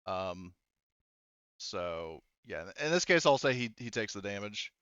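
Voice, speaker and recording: Smooth-voiced man game master, slightly muffled. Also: lowish voice, big pitch swings, a little slow, fairly bright tone, no echo. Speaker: game master of a tabletop roleplaying game. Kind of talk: casual rules talk and narration during a tabletop game.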